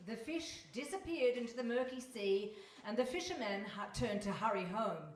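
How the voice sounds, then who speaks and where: A woman's voice: fairly high pitch, animated, normal speed. A woman narrating a scripted story as part of a live radio play. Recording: echoey hall, distant microphone.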